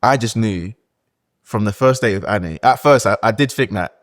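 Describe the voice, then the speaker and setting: A man's voice: on the low side, normal speed. A young man, a guest talking candidly and hesitantly in a casual podcast chat, often restarting his sentences.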